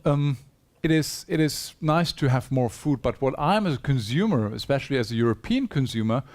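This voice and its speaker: Brief: male conference speaker on stage, mid-range voice, earnest and persuasive, speaks into a stage mic. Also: mid-range voice. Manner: earnest, persuasive, poses rhetorical questions to the audience. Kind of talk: conference presentation. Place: conference hall.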